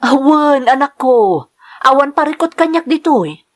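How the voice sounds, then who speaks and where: A woman's voice: high-pitched, animated, moderate pace. A woman playing a devoted, trusting mother in an Ilocano radio drama, earnest and reassuring. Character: devoted, trusting mother in love, working away from home.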